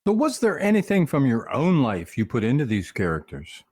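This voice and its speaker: A man hosting an interview, reading prepared questions with a lively lilt. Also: medium pitch, normal speed, breathy edge. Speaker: interview host.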